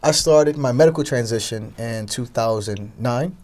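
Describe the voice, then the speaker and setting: A man's voice: medium pitch, moderate pace, a little mellow. A young adult man speaking conversationally as a guest answering interview questions. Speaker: talk show guest, entrepreneur.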